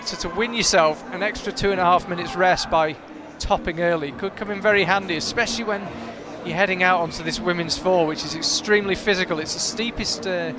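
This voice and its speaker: A man's voice: high voice, steady tone, brisk, few pauses, noisy background. A man doing live commentary on a climbing competition, chatty and analytical, thinking aloud as the action unfolds in a big hall. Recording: loud crowd in a big hall.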